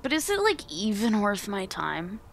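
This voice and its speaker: A woman's voice: mid-range voice, wildly swooping pitch, moderate pace, vocal fry. A woman chatting and improvising casually as a guest player in a tabletop game.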